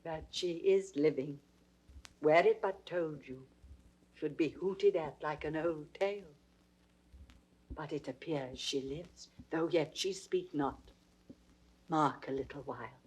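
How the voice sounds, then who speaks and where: A woman's voice: deep voice, darkish tone. A British woman acting a commanding, solemn noblewoman in classical Shakespearean audio drama, stately and incantatory. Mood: gentle authority. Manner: stately, incantatory, deliberate phrasing.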